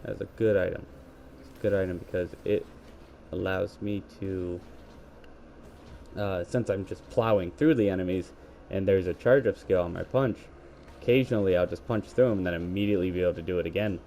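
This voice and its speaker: Male gamer, low mellow scratchy voice, rambling play-by-play into a headset, faint hiss behind. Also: fairly quick, creaky, dull audio. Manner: rambling, self-correcting play-by-play. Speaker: gaming video creator. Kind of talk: let's play commentary. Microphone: gaming headset.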